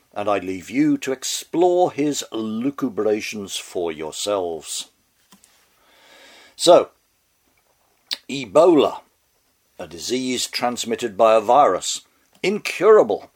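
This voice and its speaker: An older man reads a scripted commentary while fighting a bad head cold, his voice on the edge of giving out. He talks slowly in a lowish register, with a dry, wry, mannered delivery. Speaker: podcast host.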